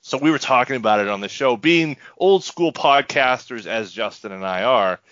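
A man's voice: medium pitch, expressive, moderate pace, fairly bright tone, no room sound. Wry man podcasting, dry, slightly dull sound. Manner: wry, hyperbolic joking. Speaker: podcast co-host. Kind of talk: casual sports podcast chat.

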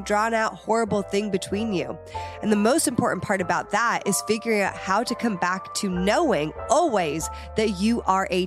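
Upbeat young woman, a bit nasal. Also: mid-range voice. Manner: upbeat, encouraging, chatty. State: slight head cold, nasal. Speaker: podcast host. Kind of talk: casual podcast chat.

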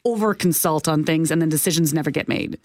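A woman's voice: deep voice, fast talker, darkish tone, no echo. A woman asking questions as a co-host in a radio studio interview.

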